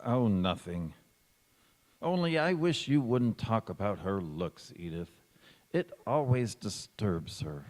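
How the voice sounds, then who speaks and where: A man's voice: fairly low pitch, big pitch swings, takes it slowly, darkish tone. A man playing a mild, devoted husband, theatrical and soothing, in a stage radio play. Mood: defensive. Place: large hall, live stage performance. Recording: echoey hall with long reverb.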